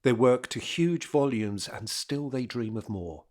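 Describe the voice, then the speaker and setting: A man narrating a scripted period drama in a measured, rhetorical manner. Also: mid-range voice, expressive, darkish tone.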